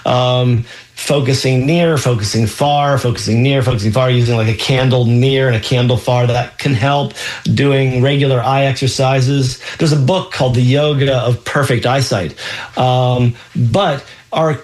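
A man's voice: medium pitch, steady tone, measured pace, a little mellow. A man hosting a call-in radio health show as a physician, explaining answers with frequent ums and restarts.

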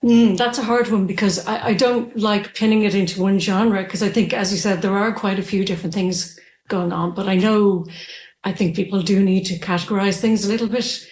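A woman's voice: mid-range voice, brisk. Irish woman on an echoey video call. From Ireland. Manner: relaxed, laughing lightly, with many fillers. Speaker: musician guest. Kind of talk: remote interview.

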